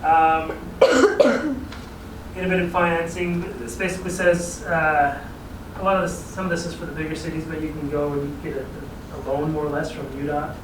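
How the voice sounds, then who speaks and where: Man, planner briefing a council, easygoing, explanatory, echoey room, distant mic, steady background noise. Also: fairly high pitch, normal speed. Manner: explanatory, easygoing, chuckles at own asides. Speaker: regional transportation planning staff presenter. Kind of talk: informal briefing to a city council. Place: city council chamber.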